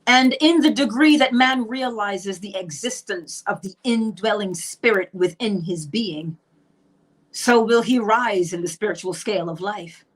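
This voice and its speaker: A middle-aged Barbadian woman speaks in an emphatic monologue, asking herself questions and answering them. Her voice sits at an ordinary pitch for a woman, and she teaches with a firm, preaching lilt. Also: measured pace. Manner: emphatic, rhetorical questions, acted quotes. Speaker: spiritual teacher. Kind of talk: monologue on manifestation.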